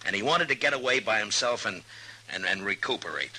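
A reluctant frontier marshal, gruff and dryly exasperated. The voice is low and lively with a very hard, bright edge. It sounds like a 1950s radio drama, with faint noise. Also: male voice, brisk, heavily acted, low-quality recording. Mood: stubborn, exasperated. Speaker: actor in a radio western.

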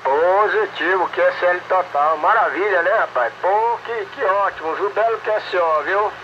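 A man's voice: sits high, moderate pace, highs cut off, very noisy. A Brazilian man chatting casually as an amateur radio operator, enthusiastic and folksy, full of slang and jargon. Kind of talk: casual radio contact chat with running commentary.

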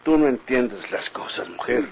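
An actor playing an exasperated, defensive village official in a Mexican radio drama, with a husky voice and Mexican accent. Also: male voice, mid-range voice, expressive, measured pace, darkish tone, low-quality recording. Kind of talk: vintage Mexican radionovela acting.

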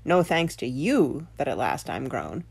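A woman's voice: a bass voice, very animated, unhurried, gravelly, darkish tone, breathy edge, pitched below the performer's own voice, full character voice. A woman voicing a grumpy, indignant talking potato that scolds, in a children's tale. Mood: grumpy, scolding.

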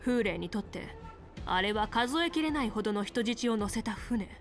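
A woman's voice: a little high, animated. Resolute, commanding female general. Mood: confident, explaining. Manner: authoritative, measured explaining. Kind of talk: Japanese anime game voice acting. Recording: music behind.

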